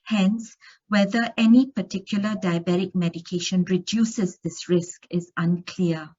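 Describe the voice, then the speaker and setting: A woman's voice: lowish voice, fairly even intonation, a little slow, darkish tone, husky, lo-fi sound. A woman from Singapore giving an academic conference lecture, reading steadily through study findings with occasional fillers and restarts. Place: conference room.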